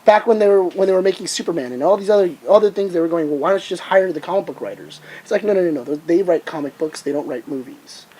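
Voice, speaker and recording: A man talking casually as a podcast panelist, animated, restarting phrases and acting out imagined exchanges. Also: high-pitched, fast talker, few pauses, breathy edge, low-quality recording.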